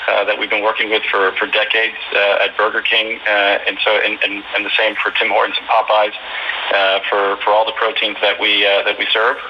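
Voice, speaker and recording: A man's voice: lowish voice, monotone, lo-fi sound. A male executive answering interview questions with many fillers and restarts. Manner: halting, many fillers and repeated words. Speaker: business executive interviewed on radio. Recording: noisy shortwave radio reception.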